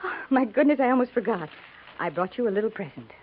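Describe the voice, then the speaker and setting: An American actress playing a practical, seasoned frontier army wife, neighborly but grave, speaking in a measured, matter-of-fact way in a 1950s radio drama. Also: female voice, a little high, lively intonation, breathy edge. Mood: awkward, kindly. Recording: tinny, narrow-band 1950s radio recording.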